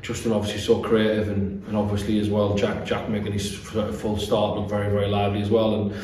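A man's voice: lowish voice, flat delivery, brisk, darkish tone. British man in a very echoey room. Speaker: football manager. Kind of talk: post-match interview. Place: small bare-walled room indoors. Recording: echoey room, distant mic, faint noise.

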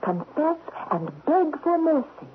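A slow, imperious queen of thieves. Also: high voice, very animated, exaggerated voice. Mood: coldly insistent. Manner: commanding, slyly coaxing, deliberate. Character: seductive, imperious talking statuette, Indian queen of thieves. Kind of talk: 1970s radio drama acting. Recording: muffled vintage radio recording with hiss.

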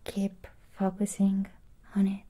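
Woman as a slow, soft, whispery android nurse. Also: medium pitch, completely flat delivery, breathy edge. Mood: doting, soothing. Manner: soft, whispery, doting, halting phrases. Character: sweet, clingy female android doll nurse. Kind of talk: ASMR roleplay.

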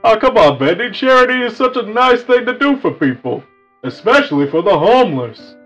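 A man plays an amiable cartoon wolf farmer, pitched high for a male voice. He sounds cheerful and folksy, teasing gently. The recording is slightly dull, with faint music underneath. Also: sing-song, fairly quick. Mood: earnest. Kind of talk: improvised cartoon roleplay.

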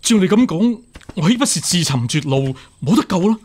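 An actor with a Hong Kong Cantonese accent playing a hot-headed, righteous young court official, earnest and impassioned, in a radio drama. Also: male voice, high-pitched, sing-song, brisk, a little mellow, a little hiss or hum. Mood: agitated, earnest.

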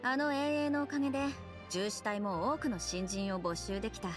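A woman playing a stern young patrol captain in a game, formal, deadpan and authoritative. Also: medium pitch, normal speed, over music, natural voice. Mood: dry, wary.

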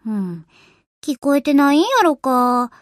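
A woman's voice: high-pitched, lively intonation, unhurried, no echo, studio quality. A woman voicing a cheerful, easygoing young warship girl in an anime game, with a casual, folksy Kansai accent. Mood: softer, concerned. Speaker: voice actor of a game character. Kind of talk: anime game character voice lines.

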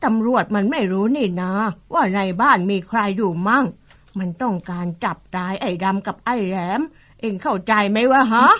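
A woman playing an anxious, sharp-tongued older village mother who scolds roughly in a radio-style drama. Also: medium pitch, expressive, moderate pace. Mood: scolding. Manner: scolding, rough rural speech.

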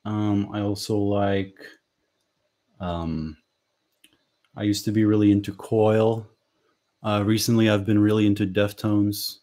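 A man's voice: fairly low pitch, level tone, a little slow, pauses often, dark tone. A young man from Lithuania, a native Lithuanian speaker, chatting casually on a livestream from a small bedroom through a headset, hesitant with frequent ums. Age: young adult. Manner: hesitant, many ums and restarts. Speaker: author hosting a livestream. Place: small bedroom at home, indoors.

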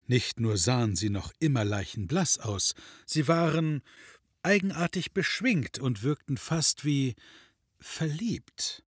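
An actor playing the wry narrator of a detective comedy audio drama, reading scripted narration. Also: male voice, medium pitch, lively intonation, slow, husky, dry recording, clean studio sound. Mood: dryly ironic.

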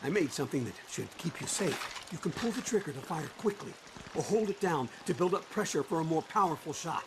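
An actor playing a timid, downtrodden middle-aged lackey in a video game, anxious and urgent but determined. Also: male voice, fairly high pitch, expressive, a little fast. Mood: nervous resolve. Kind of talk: video game cutscene acting. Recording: noisy ambience behind.

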